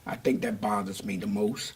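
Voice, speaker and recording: Older man, very raspy, gravelly, measured interviewee, deliberate delivery. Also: lowish voice, fairly even intonation, darkish tone. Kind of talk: reflective interview answers.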